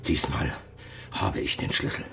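An actor playing a sly, menacing widower in a radio drama, terse and needling. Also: male voice, measured pace, lightly acted. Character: sly, menacing widower holding a woman captive.

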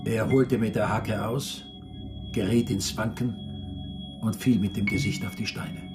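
A man's voice: on the low side, fairly even intonation, a little slow, close to an everyday voice. A man narrating a literary radio play in a scripted reading. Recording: loud music behind narration.

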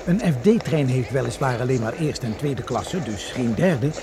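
An actor playing a courteous, precise railway ticket clerk in a radio drama, formal and helpful. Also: male voice, mid-range voice, lively intonation, normal speed, natural voice. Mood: polite, precise. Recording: radio play with clear background noise.

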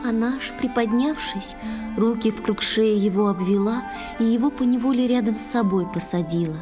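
A woman narrator reading a fairy tale in verse with expressive storybook delivery. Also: a little high, normal speed. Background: faint music.

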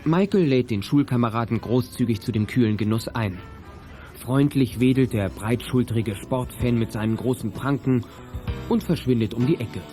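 A man's voice: mid-range voice, moderate pace, mellow, close to an everyday voice. A man narrating a youth detective radio play in scripted storytelling style. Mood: neutral storytelling. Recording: music under studio narration. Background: music.

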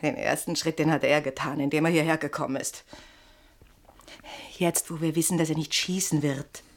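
An actress playing a pragmatic wife in a German radio play, reasoning with dry scepticism. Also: female voice, deep voice, fairly even intonation, normal speed. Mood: insistent.

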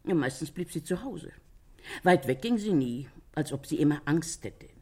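Husky, low-voiced gossipy landlady. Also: animated, normal speed, a little mellow. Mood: gossipy, reflective. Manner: chatty storytelling, quotes others animatedly. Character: talkative older Parisian landlady, gossipy but sympathetic. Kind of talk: German radio crime drama acting.

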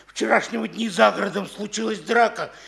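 Dutiful town cop, super gravelly yet high, matter of fact, theatre comedy. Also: male voice, brisk, fairly bright tone, heavily acted. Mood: reporting. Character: dutiful, matter-of-fact provincial police officer. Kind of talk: theatrical Russian stage comedy acting.

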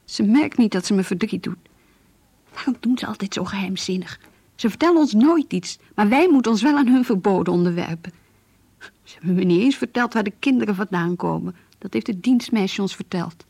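A woman playing a naive, pious schoolgirl in love, breathy and earnest, confiding her longings in a radio play. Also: medium pitch, expressive, a little fast, mellow, dry recording. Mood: wistful, confiding. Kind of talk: radio drama, diary-like monologue and dialogue.

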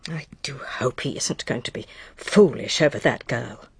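An actress playing a frail, ailing upper-class English widow in a radio drama, halting and bitter, with a weak, breathy, croaky voice. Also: female voice, a bass voice, no room sound, in a whisper, heavily acted. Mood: weary, wistful. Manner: halting, drifting.

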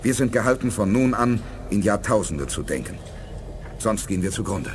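An actor playing a resolute male spaceship commander in a 1980s German sci-fi radio play, speaking with measured authority. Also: on the low side, lively intonation, heavy background noise, lightly acted. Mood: solemn, resolute.

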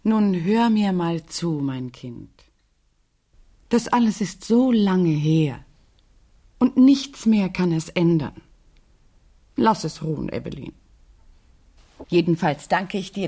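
An actress playing a troubled, guarded middle-aged widow in a radio crime drama, speaking in halting, dramatic phrases with a husky voice. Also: female voice, on the low side, dark tone, full character voice. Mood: pleading, resigned. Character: troubled, guarded widow confiding in a young neighbour girl.

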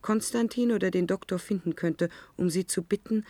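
An actress as a desperate young woman telling her own story in a science-fiction radio play. She speaks deliberately and dramatically at a medium pitch, with a lonely, anguished undertone. The studio sound is close and dry. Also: dark tone, dry recording, close to an everyday voice. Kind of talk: German radio drama, first-person narration.

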